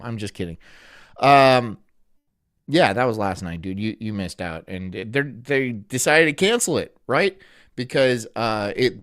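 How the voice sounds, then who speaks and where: A young man from Florida streaming live, rambling from one joke to the next in a deadpan way while his pitch swings widely. The sound is close and dry, with no room around it. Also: dry recording. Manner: rambling, joking, deadpan absurd asides. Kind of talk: casual live-stream chat reacting to a video.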